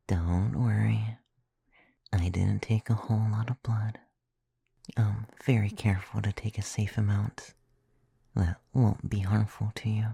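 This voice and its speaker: A gentle young femboy vampire, played by a man, whispers tenderly right up close in a very dry ASMR recording. The voice is breathy and mellow with a creaky edge, slow, caring and softly teasing. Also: lowish voice, no room sound, full character voice. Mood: tender, grateful. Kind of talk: ASMR roleplay, soft intimate whispering.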